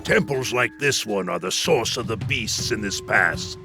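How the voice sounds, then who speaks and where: Serious game warrior, deep-ish crackly voice, music going behind. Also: male voice, lively intonation, a little slow, vocal fry. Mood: grave, wary. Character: grave, watchful male warrior guide.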